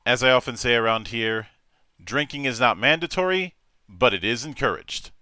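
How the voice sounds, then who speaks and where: Bright, crisp male show host. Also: mid-range voice, expressive, moderate pace, no echo. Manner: theatrical, with rising emphasis on catchphrases. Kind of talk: casual show host sign-off.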